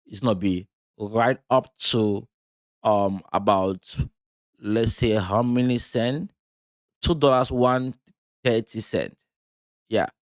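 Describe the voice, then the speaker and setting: A man from Cameroon talks through market charts on a live stream in a loose, halting way, full of fillers and self-corrections. His voice sits at an ordinary middle pitch. The recording is dry and close, with almost no room sound. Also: no room sound. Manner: loose, halting delivery with many fillers and restarts. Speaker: crypto trading streamer. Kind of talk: live trading commentary.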